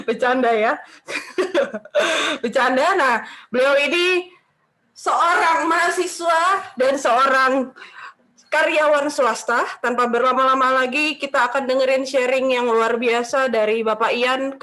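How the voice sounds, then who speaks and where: A woman's voice: high-pitched, fairly bright tone, low-quality recording. A woman moderating an online seminar on a video call, upbeat and joking with the audience.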